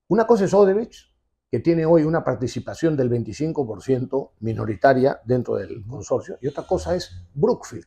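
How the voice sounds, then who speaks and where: A man's voice: mid-range voice, gravelly, warm tone, husky, muffled recording. A man in his sixties, a television host, giving emphatic and insistent political commentary in an office, recorded on a lapel mic. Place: indoor office with leather sofa.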